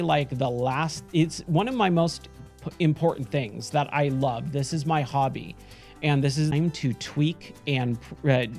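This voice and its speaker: Hoarse man streamer, faint music behind. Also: fairly high pitch, darkish tone. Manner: chatty, rambling, frequent self-corrections. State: hoarse, losing his voice. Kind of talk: casual end-of-stream chat with viewers.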